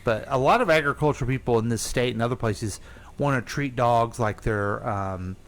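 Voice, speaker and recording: A man's voice: mid-range voice, normal speed, fairly bright tone. A man hosting a casual radio talk show, telling stories in a rambling way full of fillers and restarts. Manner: rambling storyteller, thinks aloud with fillers. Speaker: radio host. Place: radio studio.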